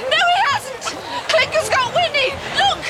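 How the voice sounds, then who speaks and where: A vain gentlewoman in a panic for a period radio play. She shrieks at an extremely high pitch amid clear noise and commotion. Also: lively intonation, measured pace, a crowd in the background. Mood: panicked. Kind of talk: period radio drama acting.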